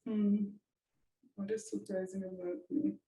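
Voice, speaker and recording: A woman's voice: medium pitch, flat delivery, measured pace, dark tone. A woman researcher answering interview questions casually, chatty and thinking aloud with frequent laughs. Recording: echoey room, distant from the mic.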